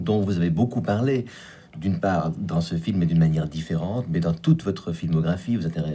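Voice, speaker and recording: A male radio host poses long, carefully formal questions to his guests. His voice is low, warm and slightly husky. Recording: radio studio.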